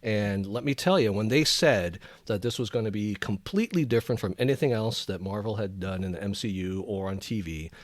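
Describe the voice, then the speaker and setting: A man's voice: on the low side, lively intonation, a little fast, few pauses, no echo. A man speaking as a podcast panelist in casual, enthusiastic pop culture chat.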